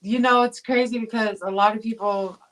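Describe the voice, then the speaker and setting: A woman's voice: fairly high pitch, fairly even intonation, fairly quick, rough recording. A woman from Tonga chats casually as an interview guest. She rambles a little, with many fillers and restarts. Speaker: guest, pro boxer.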